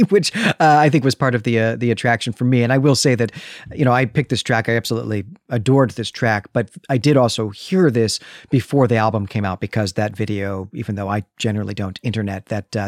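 A man's voice: mid-range voice, dry recording, studio quality. A man in his forties hosting a podcast, discussing an album in a reflective, articulate, conversational way. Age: 40s. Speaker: podcast host.

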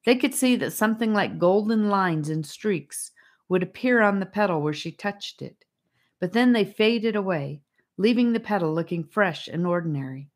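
A woman's voice: medium pitch, expressive, moderate pace. A woman reading a children's fantasy adventure as an audiobook narrator.

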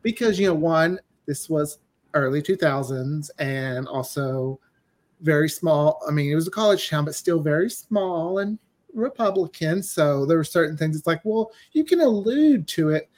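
A man's voice: a little high, lively intonation, normal speed, a little mellow. A man speaking as a writer guest, casually recounting how his work came about. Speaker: guest, audio drama writer.

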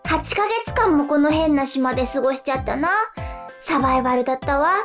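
A woman playing an exasperated castaway high school girl in a radio drama, blunt and complaining, with chatty narrating asides. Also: fairly even intonation, a little fast, natural voice. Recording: music behind the voice.

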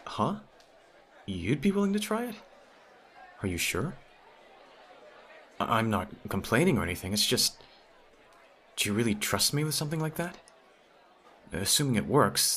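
A man's voice: lowish voice, a bit bright, a little hiss or hum. A man playing a teasing, smitten teenage childhood friend in an ASMR audio roleplay, talking straight to the listener in a conversational way. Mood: flustered, excited.

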